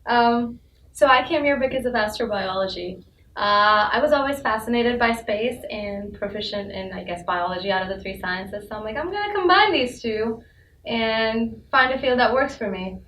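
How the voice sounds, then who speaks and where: A young woman chats in a lively, giggly way, her voice a touch high. She sits back from the mic in an echoey room with faint noise. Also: normal speed, recorded from a distance. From India. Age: young adult. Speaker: student. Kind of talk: casual panel chat.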